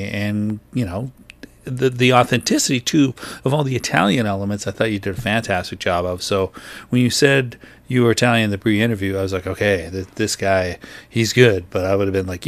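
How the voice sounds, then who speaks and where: A man's voice: on the low side, no echo. A man hosting a radio interview, asking friendly, talkative questions. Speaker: radio host.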